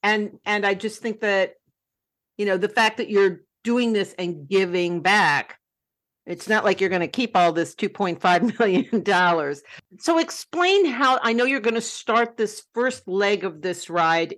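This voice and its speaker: A woman's voice: mid-range voice, unhurried, a bit bright. Woman host, chatty and upbeat, asking questions. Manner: chatty, enthusiastic. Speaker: interview host. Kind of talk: casual interview.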